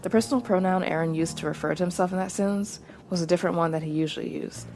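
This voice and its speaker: A woman hosting a podcast, giving thoughtful solo commentary in a measured, reflective way with small digressions. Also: fairly low pitch, a little mellow. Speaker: podcast host reacting to anime.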